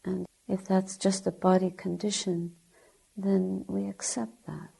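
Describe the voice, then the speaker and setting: Woman, meditation teacher, soft husky breathy voice, heavy creak, unhurried, gentle, deliberate short phrases. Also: mid-range voice, flat delivery, low-quality recording. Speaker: Buddhist nun, meditation teacher. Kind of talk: guided meditation instruction.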